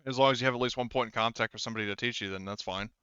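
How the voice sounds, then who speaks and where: Clear-voiced man running a game, slightly muffled. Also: mid-range voice, rapid-fire, pushed and bright, no echo. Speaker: game master. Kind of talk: casual tabletop game chat. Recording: muffled, slightly lo-fi voice chat mic.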